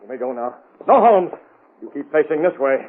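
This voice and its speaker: An actor playing a commanding, cool masked vigilante with clipped delivery in an old radio drama. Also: male voice, a little high, expressive, brisk, slight background noise, lightly acted. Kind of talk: 1930s-40s radio drama acting. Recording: muffled old radio broadcast recording.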